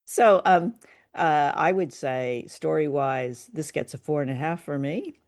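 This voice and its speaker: A woman co-hosting a conversational review show, speaking in measured, hesitant phrases. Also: low voice, darkish tone, no room sound, clean studio sound. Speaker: podcast co-host. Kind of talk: conversational radio drama review.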